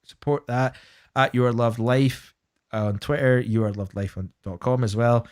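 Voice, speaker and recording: A man's voice: medium pitch, steady tone, measured pace, smooth. A Scottish man co-hosting a wrestling podcast, chatting casually and running through the show's plugs. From Scotland. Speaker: podcast co-host.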